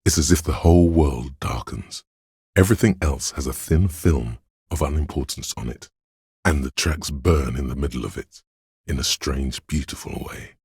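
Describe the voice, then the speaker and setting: A man voicing a detective's analytical inner voice in a video game, grave and coolly exacting, with deliberate, clinical narration and dry judgment. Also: darkish tone, breathy edge, no echo, exaggerated voice. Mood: hushed wonder. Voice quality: very deep, resonant bass. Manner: deliberate, clinical, measured, dryly judging. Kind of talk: dramatic second-person game narration.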